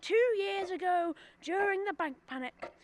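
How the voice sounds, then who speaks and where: A woman's voice: very high voice, moderate pace, noisy background. A teenage girl acting a scheming, smug rich businessman in a radio drama, persuasive and self-important. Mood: smug persuasion. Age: teens. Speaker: student radio drama actor.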